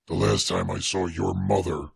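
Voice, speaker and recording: A man voicing a menacing, sneering two-headed monster in a children's storybook, with a gravelly, raspy voice. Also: deep voice, steady tone, moderate pace, no room sound, pitched below the performer's own voice, heavily acted.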